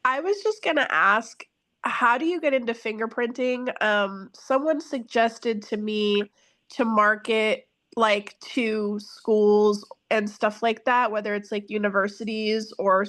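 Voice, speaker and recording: A woman's voice: a little high, fairly even intonation, a little slow, fairly bright tone. A woman caller from the Bay Area, stuffed up from a cold, asking a hesitant, apologetic question. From San Francisco Bay Area.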